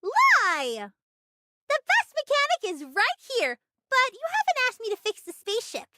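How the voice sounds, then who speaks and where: Excitable, squeaky-high anime little kid. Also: female voice, unhurried, background noise, exaggerated voice. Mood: boastful, indignant. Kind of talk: English anime game voice acting.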